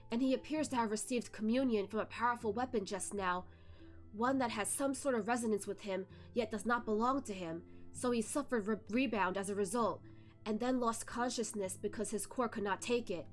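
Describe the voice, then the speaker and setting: A woman reads the part of a learned old physician in a fantasy story. The delivery is formal and calmly firm, in a high register. Faint music plays underneath. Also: natural voice. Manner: measured, formal, explanatory. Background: music behind the voice.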